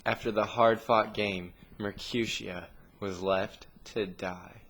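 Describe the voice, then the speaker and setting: A man reading a scripted story narration with dramatic pauses, in a noisy amateur recording. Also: fairly low pitch, fairly even intonation.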